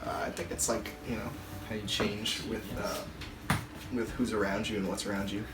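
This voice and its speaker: A young man who answers interview questions quickly and casually, with halting restarts. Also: on the low side, level tone, echoey room, far from the mic, music in the background, poor audio.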